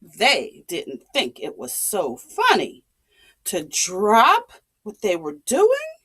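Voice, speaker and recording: A woman telling a story to young children in a dramatic, expressive, deliberate way. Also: medium pitch, slightly rough.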